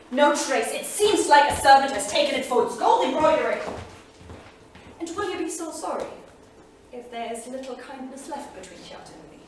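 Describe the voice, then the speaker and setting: An actress in a staged reading, playing a proud, earnest heroine in a solemn, dramatic manner. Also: female voice, high-pitched. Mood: proud, exasperated. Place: theatre studio stage, far microphone. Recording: distant echoey theatre studio, faint noise.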